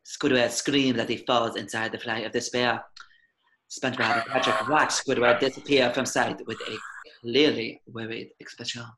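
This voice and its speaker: A man's voice: mid-range voice, little pitch movement, normal speed, background noise. A man reading stage directions as scripted narration at a table reading.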